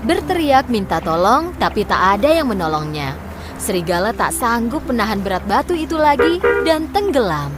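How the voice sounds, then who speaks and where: A woman's voice: a little high, very animated, moderate pace, music in the background. A woman storyteller reading scripted fairy tale narration for children.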